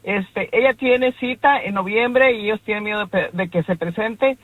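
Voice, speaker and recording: A woman calls in to a radio show and politely asks quick questions. She speaks deferentially throughout. Her voice comes through a thin, tinny phone line with a dry sound. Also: mid-range voice, dry recording. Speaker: caller.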